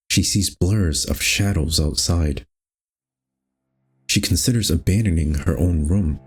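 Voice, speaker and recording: A very low-pitched male voice reads a horror game story aloud with measured, dramatic phrasing. He hosts the playthrough as narrator, with soft music lying underneath. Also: slightly rough. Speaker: host reading a visual novel aloud. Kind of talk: expressive scripted story narration.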